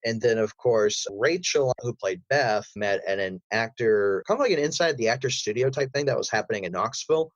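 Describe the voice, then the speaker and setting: A slightly muffled, dry recording of a man with a mid-pitched voice. He talks as a podcast guest in a casual, storytelling way, with an animated manner. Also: brisk, hardly any pauses, no echo. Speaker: podcast guest, voice actor and audio drama creator. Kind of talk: casual interview chat, storytelling.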